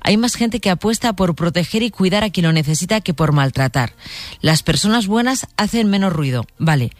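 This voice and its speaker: A woman's voice: lowish voice, lively intonation, moderate pace, dry recording. A woman hosting a late-night radio talk show from a studio, speaking conversationally and addressing listeners directly. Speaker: radio host.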